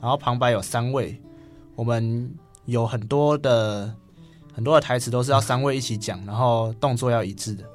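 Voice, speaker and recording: Teen male student, Taiwanese Mandarin accent, medium pitch, halting casual answers, soft guitar music. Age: teens. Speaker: student interview guest.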